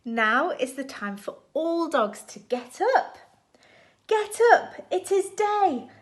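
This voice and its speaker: A woman reading a picture book aloud to young children in an expressive, deliberate storytime style. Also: high-pitched. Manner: expressive, animated, deliberate phrasing for small children.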